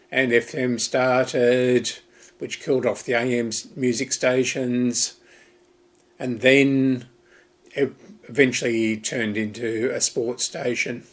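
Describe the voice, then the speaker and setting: A middle-aged or older man with an Australian accent, presenting an informal, unscripted history explainer in a halting manner, pausing mid-sentence. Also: medium pitch. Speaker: presenter of a vintage radio hobby video.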